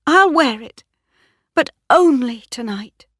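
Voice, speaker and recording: A woman reading the part of a frightened, reluctant young woman farmer in an audiobook, with high, pleading, breathless lines. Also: lively intonation, slow pace, fairly bright tone, dry recording, clean studio sound, exaggerated voice. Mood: yielding.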